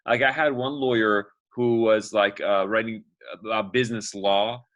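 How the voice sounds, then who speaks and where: A man's voice: on the low side, steady tone, moderate pace, a bit bright. A man hosting a casual interview, easygoing and chatty as he asks questions. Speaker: host, interviewer.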